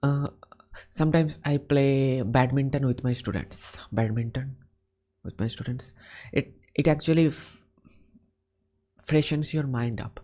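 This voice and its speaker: A man's voice: medium pitch, fairly even intonation, measured pace, pauses often, dark tone. A man from India with an Indian English accent, a teacher chatting in English over a call, often restarting his phrases. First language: Bengali. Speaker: teacher and host. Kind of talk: casual conversation.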